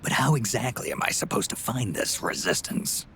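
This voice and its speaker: An actor playing a weary, gruff aging soldier in a video game, with a gravelly growl and a terse, fatalistic manner. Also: male voice, fairly low pitch, sing-song, moderate pace, slight background noise, exaggerated voice. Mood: wary, questioning. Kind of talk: dramatic video game cutscene acting. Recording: loud music behind the voice.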